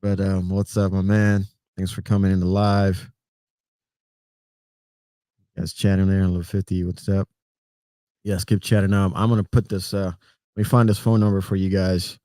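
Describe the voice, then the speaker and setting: A middle-aged Puerto Rican man with a low, mellow voice chats loosely on a livestream, greeting viewers and chuckling between thoughts. The sound is dry and close, and he sometimes drifts away from the mic. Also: normal speed, pauses often, no echo. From Puerto Rico. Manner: relaxed, rambling, greets chat by name, chuckles often. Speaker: trucker livestream host. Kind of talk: casual livestream chat with viewers.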